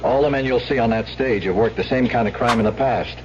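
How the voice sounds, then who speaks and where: An actor playing a brisk, businesslike police lieutenant with a matter-of-fact, authoritative manner in an old radio drama, on a muffled, noisy recording. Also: male voice, medium pitch, lightly acted.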